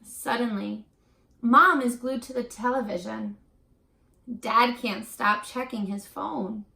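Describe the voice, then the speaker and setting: Lady teacher doing a kids read aloud, kinda high voice, takes her time, encouraging and expressive, echoey room. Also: takes it slowly, gravelly. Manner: encouraging, expressive, talking directly to young children. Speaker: teacher reading a picture book to children at home. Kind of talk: children's read-aloud with chatty asides and questions. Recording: roomy echo.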